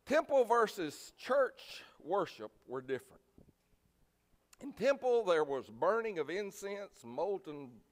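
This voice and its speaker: A man preaching a sermon in a folksy, plainspoken, emphatic way, with a loud voice. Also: high-pitched, very animated, slow pace. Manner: folksy, plainspoken, emphatic, swinging from quiet asides to loud exhortation. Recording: reverberant hall.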